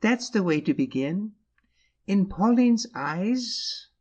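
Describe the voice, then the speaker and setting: A man playing a small, gentle priest in an audiobook drama, speaking gravely and earnestly with quiet insistence. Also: sits high, animated, full character voice. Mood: urgent, imploring. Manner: slow, solemn, earnest.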